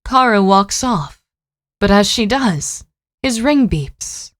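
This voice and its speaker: Mid-pitched woman narrator. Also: lively intonation, slow pace. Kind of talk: scripted audio drama narration.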